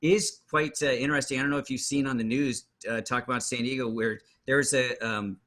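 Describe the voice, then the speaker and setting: A man's voice: mid-range voice, steady tone, brisk, fairly bright tone. A man hosting a conversational podcast, asking loose, rambling questions full of fillers. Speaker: podcast host. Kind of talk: casual conversational interview.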